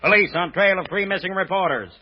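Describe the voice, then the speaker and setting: A man's voice: sits high, fast, slight background noise, natural voice. A male actor playing a sardonic, wisecracking gangster henchman in a vintage radio drama. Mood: matter-of-fact, warning. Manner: dry, sarcastic, slangy. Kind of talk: 1930s-40s American radio drama acting. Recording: muffled, dull vintage radio recording.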